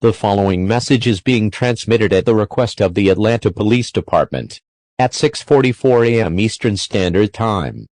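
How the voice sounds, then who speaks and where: A man's voice: fairly low pitch, a little mellow, no echo. A man's synthetic-sounding voice reading scripted emergency alert messages as a formal announcer.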